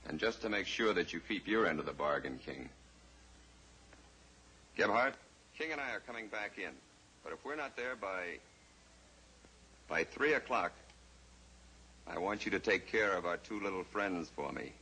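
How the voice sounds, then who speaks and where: Low, menacing radio villain. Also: male voice, animated, sharp tone, exaggerated voice, low-quality recording. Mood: threatening, in control. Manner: mock-polite, deliberate, menacing. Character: suave, menacing male villain, a scheming blackmailer. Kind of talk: old-time radio drama acting. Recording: old radio recording with faint hiss.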